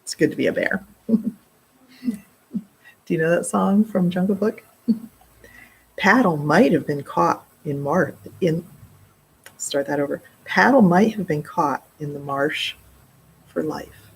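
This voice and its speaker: A middle-aged woman reading aloud to children in an expressive way, pausing for casual explanatory asides. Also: on the low side, gravelly, slight background noise, low-quality recording. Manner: expressive, explaining words and asides to young listeners. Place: indoor library children's room.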